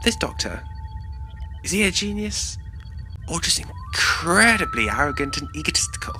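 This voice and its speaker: A man's voice: fairly high pitch, sing-song, normal speed. Man as earnest spaceship technician over music. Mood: shy, curious. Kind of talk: fan audio drama acting.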